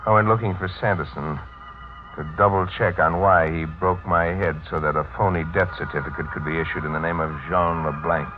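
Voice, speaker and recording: A man's voice: deep voice, lightly acted. An actor playing a haunted man with no memory, telling his ordeal in a 1940s radio mystery. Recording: muffled, noisy 1940s radio recording.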